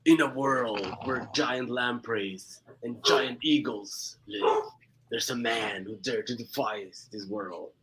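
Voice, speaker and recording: A man's voice: mid-range voice, slow, heavy background noise. A young man with a Swiss-Mexican accent, a guest chatting casually on a live stream, laughing often and restarting words. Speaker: guest comic creator.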